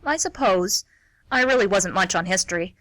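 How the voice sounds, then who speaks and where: A woman playing a polite, hesitant schoolteacher who gently questions, in an amateur radio play. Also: medium pitch, animated, normal speed, dry recording, natural voice. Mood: polite, mild. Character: polite, mild female schoolteacher, curious and hesitant.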